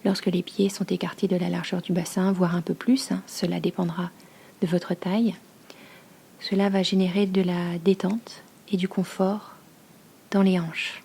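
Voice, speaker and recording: A woman leads a guided meditation as a yoga nidra teacher, speaking slowly in a hushed, soothing manner with long pauses and a soft, breathy voice. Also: fairly low pitch, little pitch movement.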